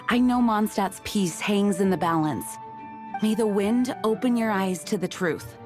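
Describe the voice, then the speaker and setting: A young female knight commander from a video game, played by a woman. Her voice is mid-pitched, and she speaks formally, with measured, earnest composure. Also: darkish tone, faint music behind, lightly acted. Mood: grave, earnest.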